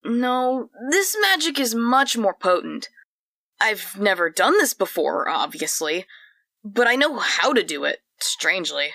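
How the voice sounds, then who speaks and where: A woman's voice: medium pitch, very animated, unhurried, bright, forceful tone, no echo, full character voice. A woman voicing a small, grumpy, bossy plant creature with a snippy manner in a comedic fantasy audio drama. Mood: grudging. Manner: snippy, impatient, boastful.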